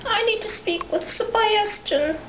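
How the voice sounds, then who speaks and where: A woman's voice: very high-pitched, a little slow, fairly bright tone. A woman voicing a clingy, wronged lover on a prank phone call, insistent and mock-earnest. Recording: roomy, noisy home camera recording.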